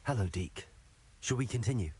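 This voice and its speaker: A man's voice: fairly low pitch, lively intonation, normal speed, mellow, slight background noise, natural voice. A man playing a polite, confident young wizard student as the protagonist of a video game. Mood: calm, confident.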